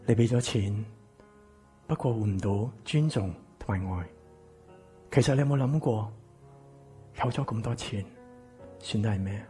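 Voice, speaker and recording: A man's voice: fairly low pitch, a little slow, pauses often, mellow, faint music behind, close to an everyday voice. A man playing a kind, wistful man with family regrets in a Cantonese radio drama. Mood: sober, reflective.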